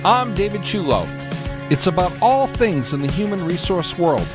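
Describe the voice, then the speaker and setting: A man hosting an interview show, introducing guests and asking them questions in a lively, sing-song way. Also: high-pitched, moderate pace, music in the background. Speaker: radio show host. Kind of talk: hosted business interview.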